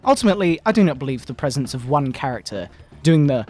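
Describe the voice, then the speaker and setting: A man voicing a pompous, sanctimonious fundamentalist preacher parent in a mock-dramatic sermon, with theatrical, drawn-out emphasis. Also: mid-range voice, very animated, darkish tone. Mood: fervent righteousness. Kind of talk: mock-dramatic reading of a moral-panic sermon. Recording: background video game music.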